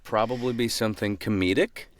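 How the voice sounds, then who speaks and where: A man's voice: lowish voice, fairly even intonation, brisk, dry recording. A man who co-hosts a podcast and does voice acting, talking casually and informally. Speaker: podcast co-host and voice actor. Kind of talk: casual chat.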